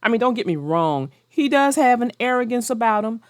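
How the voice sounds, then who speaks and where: Animated woman storyteller, dry close sound. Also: on the high side, brisk, no echo. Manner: theatrical, addresses young readers directly. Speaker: children's story narrator and host. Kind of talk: expressive read-aloud and chat for young children.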